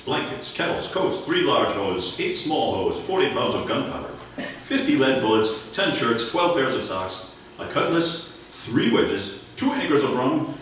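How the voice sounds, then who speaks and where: A man's voice: mid-range voice, a bit bright, slight background noise. Man playing a bombastic, echoey old-time tycoon uncle. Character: boastful old-time uncle, wealthy land trader and magnate. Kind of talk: old-time radio comedy acting. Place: library community room before an audience. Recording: roomy live hall, far from mic.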